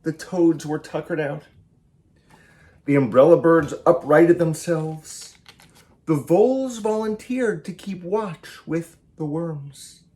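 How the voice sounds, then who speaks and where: A man, a children's author, reading a picture book aloud in an animated, theatrical and emphatic way. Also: fairly high pitch, slow. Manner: theatrical, emphatic, with varied delivery.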